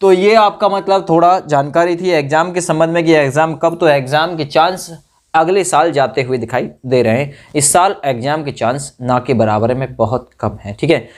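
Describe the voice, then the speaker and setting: A man's voice: a little high, moderate pace. A man giving an informal explainer to students, repeating phrases for emphasis and checking in with short tag questions. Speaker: exam-news presenter. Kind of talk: informal explainer addressed to exam candidates.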